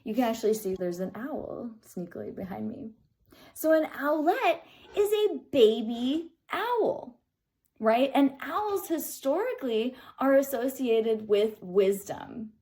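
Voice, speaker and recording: A woman's voice: a little high, lively intonation, unhurried, mellow. An American woman in her thirties giving an informal spiritual reflection, earnest and emphatic, often checking in with the listener. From Kansas City, United States. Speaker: spiritual speaker and host.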